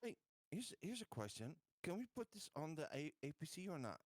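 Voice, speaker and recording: Lively, swinging-voiced man at the game table. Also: fairly high pitch, brisk, no room sound, studio quality. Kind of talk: casual game chat, asking questions.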